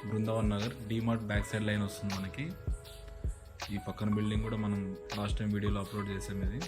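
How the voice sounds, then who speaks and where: A property agent with a Telugu Indian accent talks through a house tour. He is a man with a slightly low, very even voice and explains things matter-of-factly. Prominent music plays behind him throughout. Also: rapid-fire. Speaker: property agent presenting a house on his channel. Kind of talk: walkthrough narration of a house tour.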